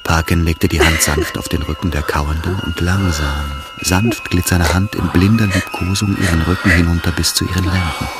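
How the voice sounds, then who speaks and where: An actor narrating a literary love story in a radio play, in a measured, intimate manner. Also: male voice, deep voice, steady tone. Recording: music behind the voice. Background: loud music.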